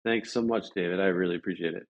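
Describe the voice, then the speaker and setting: An American man from Chicago telling stories casually as an interview guest, halting and rambling with lots of filler words. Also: sits low. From Chicago, United States. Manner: halting, rambling, frequent "like" and "you know". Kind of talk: casual conversational storytelling. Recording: dull lo-fi audio.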